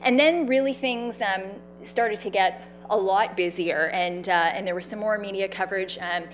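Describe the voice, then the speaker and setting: A woman tells a personal story to a live audience, speaking in a loud, animated, conversational voice. Her pitch sits in the middle range for a woman. Faint music plays underneath. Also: moderate pace. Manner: animated, conversational, with fillers and quoted dialogue. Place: event stage.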